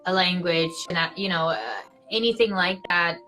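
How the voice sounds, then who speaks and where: Bright-voiced Swiss actress, chatty. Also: female voice, fairly low pitch, normal speed, faint music behind, rough recording. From Switzerland. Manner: animated, fillers like "like" and "you know". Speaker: actress, guest. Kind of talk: casual interview chat.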